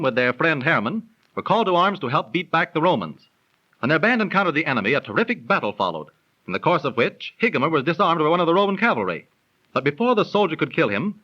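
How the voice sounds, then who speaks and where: Friendly know-it-all storyteller, lively crisp bright voice, muffled 30s radio. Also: male voice, fairly high pitch, full character voice. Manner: measured, declamatory, explanatory. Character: knowledgeable dinner guest, friendly storytelling bachelor. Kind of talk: 1930s radio acting, scripted storytelling. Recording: muffled, lo-fi 1930s radio recording.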